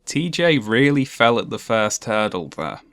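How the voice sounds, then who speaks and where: A young man with a monotone, dryly sarcastic delivery, narrating a commentary video essay. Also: on the low side, measured pace, gravelly, no room sound.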